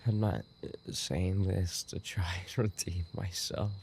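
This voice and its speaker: Guy doing a dying yandere roommate for asmr roleplay, lowish gravelly voice, really slow n halting, full of regret, crickets behind. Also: steady tone, darkish tone, a little hiss or hum, full character voice. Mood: weak, remorseful. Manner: halting, broken phrases with repeated words. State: weakened, dying from an injury. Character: dying young male yandere roommate, obsessive and remorseful. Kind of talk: boyfriend ASMR audio roleplay acting.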